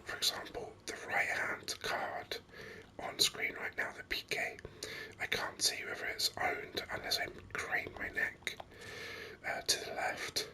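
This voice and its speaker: A gaming host whispering ASMR commentary very close to the microphone in a rambling, hesitant way. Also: a little slow. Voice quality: breathy unvoiced whisper. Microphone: microphone very close to the mouth. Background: voice-over on game screen capture.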